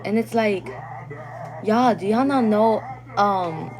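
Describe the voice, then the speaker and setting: A woman's voice: mid-range voice, takes it slowly, a little mellow, soft background music, poor audio. A young woman chatting casually on a phone livestream; English is not her first language, and she laughs often and sometimes stumbles over words. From Guatemalan family.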